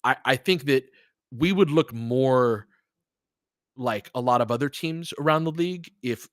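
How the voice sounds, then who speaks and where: A man's voice: mid-range voice, a little slow, fairly bright tone, dry recording, studio quality. A man hosting a sports stream, chatting casually with listeners and giving analysis and commentary in a thoughtful, somewhat hesitant, conversational way. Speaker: sports podcast host and live play-by-play commentator.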